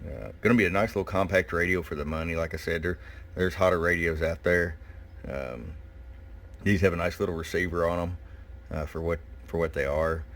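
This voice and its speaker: A man giving a casual, hands-on product review in a folksy, unhurried way with pauses. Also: low-pitched, fairly even intonation, a bit bright. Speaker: shop owner presenting product. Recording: clear background noise and room ambience. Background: background noise.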